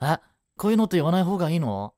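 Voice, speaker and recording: A man playing a laid-back, cheeky, protective male high school student in a romantic audio drama, with casual, slangy, reassuring delivery. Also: big pitch swings, racing, mellow, no room sound, studio quality. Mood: relaxed, candid.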